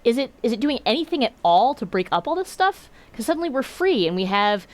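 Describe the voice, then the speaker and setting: A woman voicing a naive, excitable young kid interviewer, hosting a playful educational show. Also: a little high, a little fast. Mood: naive curiosity. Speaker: show host.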